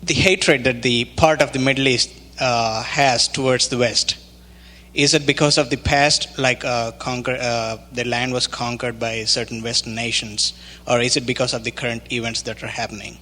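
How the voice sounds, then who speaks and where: A man in the audience poses a question, pausing on uh as he hunts for the right words. His voice has a bright, forceful edge. A long hall reverb trails behind him. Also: medium pitch, steady tone. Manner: halting, searching for words. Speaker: audience member asking a question. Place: lecture hall. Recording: reverberant lecture hall recording.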